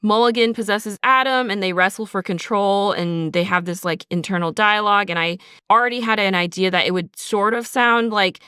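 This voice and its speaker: A woman's voice: mid-range voice, fairly bright tone, smooth, no echo, clean studio sound. A woman co-hosting a podcast, explaining things in a casual, conversational way and often saying "like". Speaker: audio drama writer, podcast co-host.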